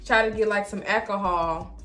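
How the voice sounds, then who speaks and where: A young woman telling a casual story to viewers in an animated way, acting out her own reactions and quotes as she goes. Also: medium pitch, normal speed, soft background music. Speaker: vlogger.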